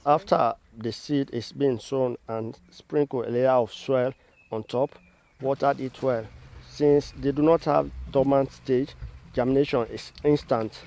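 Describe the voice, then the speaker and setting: A man's voice: mid-range voice, steady tone, unhurried, vocal fry, warm tone. A man giving step-by-step instruction as an agricultural trainer, in methodical, formal wording. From Sierra Leone.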